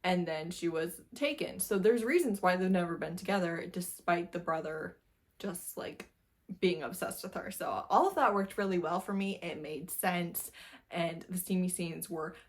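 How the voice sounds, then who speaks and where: A woman's voice: lowish voice, moderate pace. A woman casually reviewing books as a video host, chatty and informal, leaning on repeated phrases for emphasis. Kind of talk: casual book review chat to camera.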